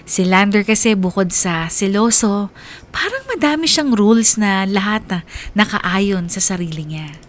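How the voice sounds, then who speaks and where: A woman performs a young woman narrating her own love story for a radio drama. Her mid-pitched voice is confiding and wistful, with a Filipino accent. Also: expressive, measured pace, close to an everyday voice. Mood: reflective. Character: young woman letter-sender recounting her first love.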